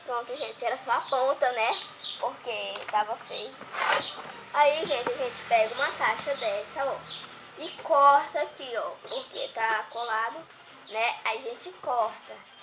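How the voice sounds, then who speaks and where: A woman's voice: on the high side, unhurried. Chatty young girl making crafts. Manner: chatty, talks to viewers as she cuts and draws. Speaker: crafts vlogger. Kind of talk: casual craft tutorial. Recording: noisy home recording.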